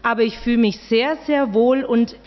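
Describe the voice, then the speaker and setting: A woman's voice: a little high, fairly even intonation, normal speed. A woman with a Swabian-Bavarian accent from the Augsburg area, the new head of a daycare centre, giving a cheerful, friendly welcome speech in church. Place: large church. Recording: dull, reverberant church recording.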